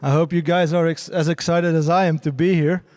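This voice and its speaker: A man's voice: fairly high pitch, flat delivery, fairly quick, darkish tone. A man with a Belgian accent, a studio managing director introducing himself to a conference audience. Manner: friendly self-introduction. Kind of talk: stage introduction.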